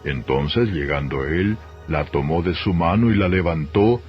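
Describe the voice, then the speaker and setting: Man, Castilian accent, deep pitch, solemn Bible narration, measured delivery, dull lo-fi sound, faint music. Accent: Castilian Spanish. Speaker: Bible reader. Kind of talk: solemn scripted scripture narration. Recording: dull lo-fi audio, music behind.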